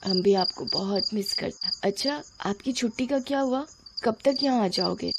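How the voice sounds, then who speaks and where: A woman playing a sleepy, affectionate young wife talking gently on a late-night call in a story. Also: mid-range voice, moderate pace, creaky, crowd noise, natural voice. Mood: tender. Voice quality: drowsy, hushed.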